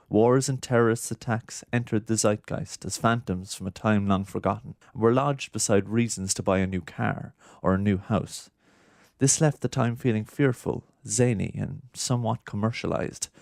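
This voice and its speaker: A man's voice: on the low side, creaky, darkish tone, dry recording, clean studio sound. A man reading scripted narration for a documentary.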